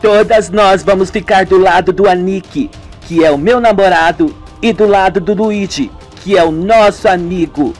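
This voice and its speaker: A woman's voice: mid-range voice, moderate pace, darkish tone, faint music behind, close to an everyday voice. A man voicing a loyal young woman who speaks firmly.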